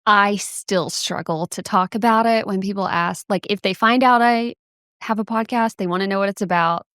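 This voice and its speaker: A woman's voice: medium pitch, moderate pace, a bit bright, no echo, clean studio sound. Friendly self-deprecating woman podcaster. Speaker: podcast co-host. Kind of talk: casual chat and reading out research.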